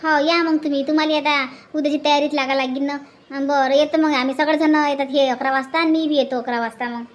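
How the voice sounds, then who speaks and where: A woman's voice: high voice, fairly even intonation, a little fast, natural voice. A woman voicing an agreeable, dutiful cartoon village woman, emphatic and repetitive, with a rural Vidarbha Marathi accent. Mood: eager, reassuring. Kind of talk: hobby cartoon dialogue.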